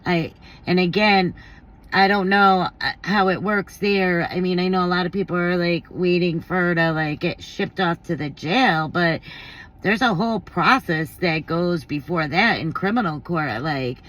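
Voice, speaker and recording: A woman's voice: lowish voice, measured pace, fairly bright tone, rough recording. A woman giving casual opinion commentary, thinking aloud in a blunt, informal, slangy way. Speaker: commentary vlogger.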